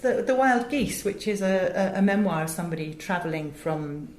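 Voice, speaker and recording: A British woman speaks in a fairly low register, recorded in a room with clear echo. She is an interview guest who tells stories conversationally. Her delivery is articulate and emphatic as she explains things. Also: lively intonation, normal speed, a little mellow. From England. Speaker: interview guest, audio director and producer. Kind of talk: animated conversational storytelling in an interview. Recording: roomy, echoing interview sound.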